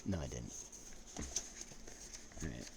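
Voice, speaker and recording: Low voiced guy just rambling, chill and halting, kinda noisy ambient recording. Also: expressive, gravelly. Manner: laid-back, halting, often checking in with "right?" and "you know". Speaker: storytelling vlog host. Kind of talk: rambling casual chat with read-out quotes.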